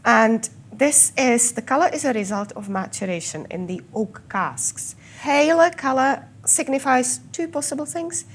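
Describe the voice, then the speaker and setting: A woman from the Czech Republic with a Czech accent and a slight Scottish tinge, guiding a tour of a whisky collection and explaining it patiently, with small pauses. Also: high-pitched, animated, slightly rough. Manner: fluent, with filler pauses and slow stressed words. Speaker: whisky guide. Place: whisky collection room, indoors.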